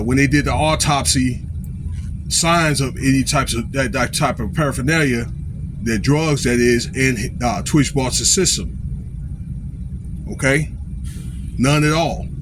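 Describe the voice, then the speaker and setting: A man giving informal, unscripted commentary in a halting way, often checking in with short tags like okay and all right. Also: medium pitch, noisy background. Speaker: online commentator.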